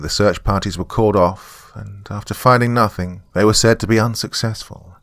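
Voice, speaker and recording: Low-voiced man narrating a dark story. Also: no room sound. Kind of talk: dramatic scripted first-person narration.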